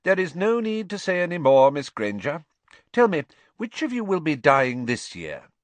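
Man as older woman teacher, stern, raised pitch, clipped, exasperated, animated, storybook. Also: normal speed, no echo. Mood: brisk, exasperated.

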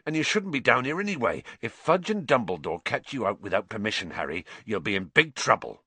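Man acting huge, gentle, grieving rustic man. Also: lowish voice, very animated, breathy edge, no room sound, full character voice. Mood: gruff, protective. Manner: halting, rustic dialect phrasing.

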